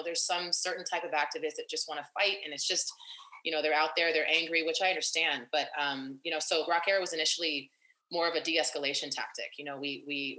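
Young woman activist chatting in an interview, low voice, lots of restarts, kinda bright and punchy. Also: roomy sound, low-quality recording. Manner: animated, long-winded, many fillers and restarts. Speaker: activist and nonprofit founder. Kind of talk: casual interview conversation.

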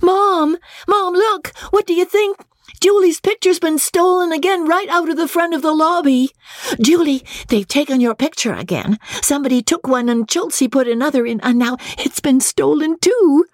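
Woman as an eager, high-voiced little girl. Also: normal speed, dry recording, studio quality, lightly acted. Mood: excited. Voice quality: high, sharp child's voice. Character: excited, affectionate little girl, high and sharp.

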